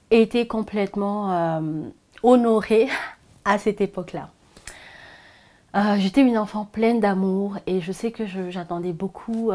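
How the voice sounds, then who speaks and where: A woman, sharing her personal story in a soft, hesitant way with frequent pauses. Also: medium pitch. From Senegal. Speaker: vlogger. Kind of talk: personal confessional vlog.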